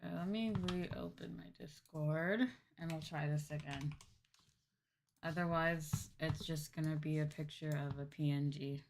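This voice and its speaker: Slow, low-pitched woman streamer chatting casually. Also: fairly even intonation, a little hiss or hum. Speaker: VTuber livestreamer. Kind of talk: casual livestream chat, thinking aloud.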